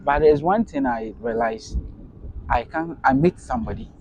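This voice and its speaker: A man's voice: fairly high pitch, normal speed, vocal fry, highs cut off, a little hiss or hum. A young man with a Ghanaian accent chatting casually as a travel vlogger, often repeating words. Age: young adult. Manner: animated, repeats and echoes words. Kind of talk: casual on-location interview chat.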